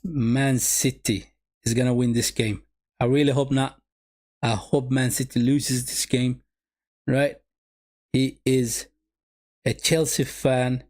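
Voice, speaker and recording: A man's voice: mid-range voice, flat delivery, slow pace, long pauses, a little mellow. A man whose first language is Somali, running a live football watch-along and calling the game for his viewers, often repeating his phrases. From Sweden.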